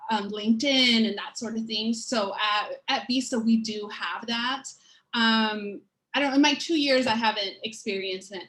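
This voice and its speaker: A woman panelist on a video call, explaining training programs in a measured, conversational way. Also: mid-range voice, fairly bright tone, low-quality recording. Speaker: panelist, learning program lead. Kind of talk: panel discussion.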